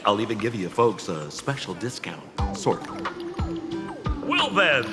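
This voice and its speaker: A jovial, sly game sidekick, with a high, bright voice and breezy showmanship. He takes his time like a chuckling salesman, with long reverb around him. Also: wildly swooping pitch, slow pace, faint music behind, heavily acted. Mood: breezy, amused. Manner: breezy, chuckling, theatrical farewells. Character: jovial, sly self-styled old sidekick with a salesman's streak. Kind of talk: video game voice acting.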